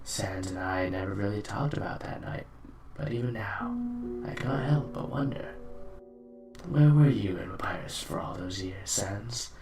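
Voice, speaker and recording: A man's voice: on the low side, normal speed, vocal fry. A man playing a polite, apologetic, earnest bartender with formal, hesitant speech in a fan comic dub. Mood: wistful. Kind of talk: fan comic dub, dramatic acting with reflective narration. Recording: roomy, distant microphone, music behind.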